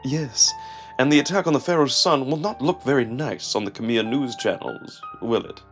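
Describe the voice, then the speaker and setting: A man's voice: medium pitch, moderate pace, fairly bright tone, soft background music, close to an everyday voice. A man playing a sly, smug male jackal schemer with haughty, precise, theatrical speech.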